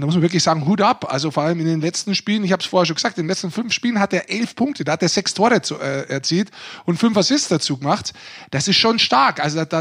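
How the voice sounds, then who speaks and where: A man's voice: a little high, a bit bright, husky. A man co-hosting a sports podcast, giving casual but emphatic analysis and repeating phrases for stress. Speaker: podcast co-host.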